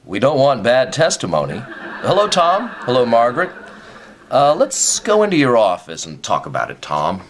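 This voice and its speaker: A man's voice: mid-range voice, huge pitch swings, normal speed. A man playing an unflappable, avuncular senior government commissioner in a radio sitcom, breezy and matter-of-fact. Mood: breezy, reassuring. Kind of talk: sitcom-style radio play dialogue. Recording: light background noise.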